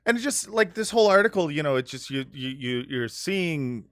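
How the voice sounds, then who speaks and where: A man's voice: on the high side, lively intonation, normal speed, fairly bright tone, no echo. Dry-sounding rambling podcast guy. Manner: rambling, many fillers and restarts, laughs often. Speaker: podcast co-host. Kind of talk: casual podcast chat.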